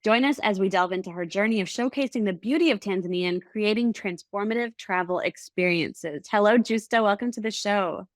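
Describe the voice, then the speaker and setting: A woman hosting a podcast, reading a polished intro and asking measured questions. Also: medium pitch, no room sound. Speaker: podcast host. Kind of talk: scripted intro and interview questions.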